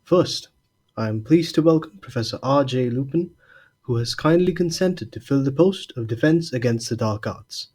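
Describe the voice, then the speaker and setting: A man playing an aged headmaster wizard in an audio drama, genial yet grave, giving measured formal oratory in a husky voice. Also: medium pitch, darkish tone, lightly acted. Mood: genial, welcoming. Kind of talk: fan audio drama, formal speech to a hall.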